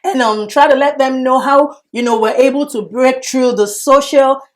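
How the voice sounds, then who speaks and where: A woman's voice: sits high, normal speed. A woman hosting an interview show, friendly and affirming, often repeating words of praise. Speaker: talk show host.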